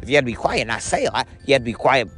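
A young man with a deep voice talking in a rambling daily vlog, repeating each point in an if-you, to-me pattern and calling his viewers guys. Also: expressive, very fast, a bit bright, soft background music. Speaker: vlogger.

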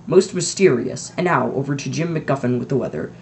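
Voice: mid-range voice, a little fast, gravelly, darkish tone, a little hiss or hum, lightly acted. A reader voicing a cheerful male television newscaster in a mock broadcast. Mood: wry. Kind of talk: mock news broadcast reading.

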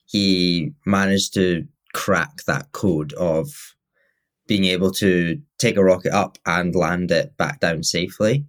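A man's voice: low-pitched, steady tone, slow. A man co-hosting a podcast in casual chat, rambling and correcting himself as he talks. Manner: rambling, with many "like" fillers and self-corrections. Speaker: podcast co-host.

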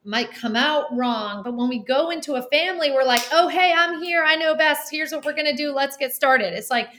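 A woman's voice: high-pitched, moderate pace, fairly bright tone, a little hiss or hum. A woman in her forties, a podcast guest speaking animatedly, laughing often and acting out little quotes. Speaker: guest, nonprofit founder. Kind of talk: podcast interview.

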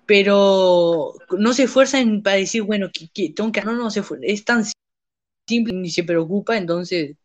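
A young man chats casually on a podcast in a fairly high voice. He rambles, breaks off and restarts his sentences, and often searches for names. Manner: rambling, halting, many restarts. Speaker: podcast host. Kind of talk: casual chat.

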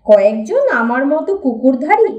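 A woman narrating a humorous story for an audiobook in an expressive, storytelling way. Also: high voice, normal speed, lo-fi sound. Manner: lively, expressive storytelling reading. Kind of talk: reading a humorous story aloud. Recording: roomy echo, voice a bit distant from mic.

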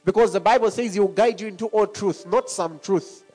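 A middle-aged man preaching a sermon. He talks in an animated way and laughs often between points. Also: high-pitched, a little fast, soft background music, rough recording. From Zambia.

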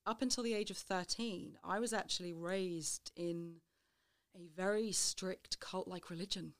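A woman's voice: on the low side, fairly even intonation. Laughing woman in her thirties, reflective. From Britain, raised between Scotland, Devon and Wales. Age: 30s. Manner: open, quick to laugh, reflective. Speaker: life coach, podcast guest. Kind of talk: interview, personal story.

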